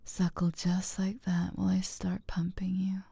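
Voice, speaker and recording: A woman whispers close to the microphone in a breathy, husky hush, coaxing and unhurried. Her voice sits low and mellow, with a gravelly fry when she hums between phrases. The sound is dry and intimate, with no room around it. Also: monotone, dry recording. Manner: slow, drawn-out phrasing with hums. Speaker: audio roleplay performer. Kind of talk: whispered intimate audio roleplay.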